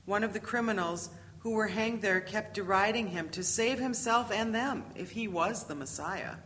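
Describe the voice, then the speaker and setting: A woman's voice: medium pitch, a bit bright. A woman giving a dramatic reading of scripture. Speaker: scripture reader. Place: large reverberant church hall. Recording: echoey hall, long reverb, background noise.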